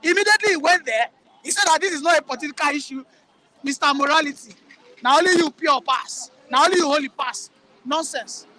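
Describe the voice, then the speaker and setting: A man's voice: very high voice, fairly quick, bright, forceful tone, a little hiss or hum, poor audio. A man with a Nigerian accent, arguing politics in a heated, mocking way and repeating his points. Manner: combative, mocking, repeats himself.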